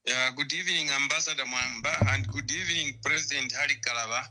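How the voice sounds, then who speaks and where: A man's voice: mid-range voice, quick pace, pushed and bright, rough recording. A man with a Zambian accent calling in with formal, emphatic, rhetorical political questions. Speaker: phone-in caller.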